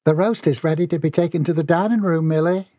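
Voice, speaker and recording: A man's voice: fairly high pitch, quick pace, warm tone, no echo, clean studio sound, pitched above the performer's own voice, lightly acted. A man voicing a fretful, timid, motherly woman cook in a storybook. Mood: busy. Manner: fretful, soothing.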